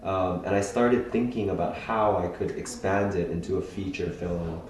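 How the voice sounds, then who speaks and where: A young man from Hawaii, a filmmaker being interviewed, speaking thoughtfully with many pauses and restarts. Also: low-pitched, fairly even intonation, a little mellow. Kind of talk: casual interview talk. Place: festival venue indoors. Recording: very echoey room.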